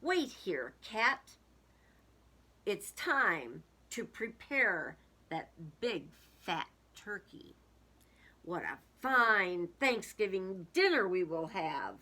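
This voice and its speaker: A woman voicing a cheerful, hospitable storybook woman with deliberate, expressive delivery. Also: medium pitch, full character voice. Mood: purposeful. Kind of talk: storybook read-aloud.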